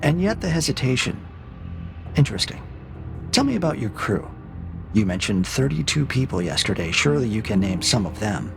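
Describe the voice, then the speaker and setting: A man voicing a cold AI interrogator in a science fiction audio drama, measured and formal, needling with calm, mocking questions. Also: medium pitch, vocal fry, music in the background. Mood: clinical, needling.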